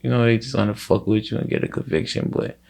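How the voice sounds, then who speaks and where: A man's voice: fairly low pitch, fairly even intonation, fast, vocal fry, darkish tone. A man speaking as a guest in a casual interview, in a slangy, rambling way that often repeats itself.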